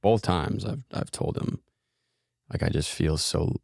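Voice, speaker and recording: Very deep, hoarse male podcast host. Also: measured pace, no echo, studio quality. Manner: calm, reflective, many fillers. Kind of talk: casual conversation.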